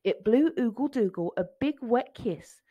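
A woman's voice: on the high side, lively intonation, a little slow, dry recording, muffled recording. A woman reading a children's picture book aloud in a storytelling style.

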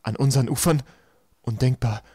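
A man's voice: mid-range voice, normal speed, warm tone, slightly rough, dry recording, clean studio sound, lightly acted. A man voicing an authoritative, self-assured island leader who speaks in an emphatic, insistent, indignant way. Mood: shocked, indignant.

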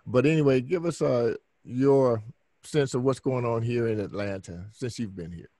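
A man's voice: medium pitch, moderate pace, mellow, no room sound. A middle-aged man hosting a podcast interview over a video call, talking easygoing with fillers. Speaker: podcast host.

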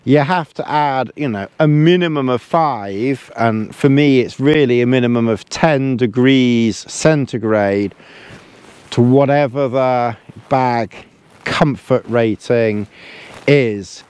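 A man's voice: medium pitch, takes it slowly, a little mellow, clean tone, no echo. A man, casually reviewing camping gear while lying in a tent, rambling and repeating himself. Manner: chatty, rambling, repeats words, chuckles. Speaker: outdoor gear vlogger. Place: inside a small tent, lying in a sleeping bag.

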